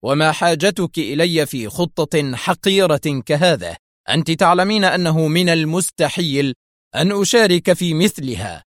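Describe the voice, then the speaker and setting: A man's voice: mid-range voice, animated, moderate pace, no echo, studio quality, natural voice. A man playing a stern, principled male intelligence officer in audiobook dialogue, speaking firmly and with emphasis. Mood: angry scorn. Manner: firm, strict, emphatic.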